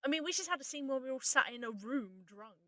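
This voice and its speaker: A woman chatting informally about audio drama as its writer and voice actor, explaining things in a casual, animated way. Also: high voice, fast, dry recording, clean studio sound. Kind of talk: casual behind-the-scenes chat.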